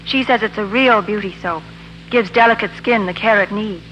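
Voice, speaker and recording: A woman's voice: mid-range voice, moderate pace, fairly bright tone, lo-fi sound. A woman giving a scripted, rehearsed 1940s radio testimonial as a guest, in a prim manner. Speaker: film studio actress, commercial guest. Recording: old radio broadcast with faint hiss.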